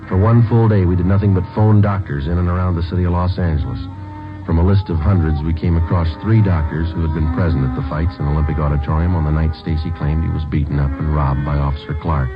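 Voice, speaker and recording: A man's voice: sits low, close to an everyday voice. A man playing a stoic police sergeant in a radio drama, narrating and talking in a clipped, matter-of-fact way. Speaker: narrator and lead police detective. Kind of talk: 1950s radio drama acting. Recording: dull old radio recording, music behind.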